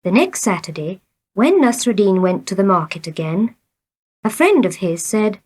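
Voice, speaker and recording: A woman's voice: mid-range voice, big pitch swings, normal speed, a little mellow, breathy edge. A woman reading short elementary stories aloud in a scripted narration for learners. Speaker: story reader.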